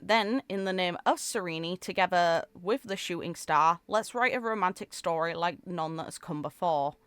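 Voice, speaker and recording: A woman's voice: on the low side, moderate pace, fairly bright tone, clear, resonant tone, no room sound, natural voice. A woman voicing a sweet, wistful young heroine from a game story, in a tender storybook manner. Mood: sweet, playful.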